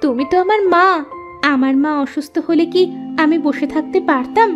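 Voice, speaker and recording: A woman's voice: high voice, expressive, normal speed, lo-fi sound, music in the background. A woman playing a sweet, devoted young village daughter in a cartoon, speaking earnestly and tenderly. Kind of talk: Bengali cartoon voice acting.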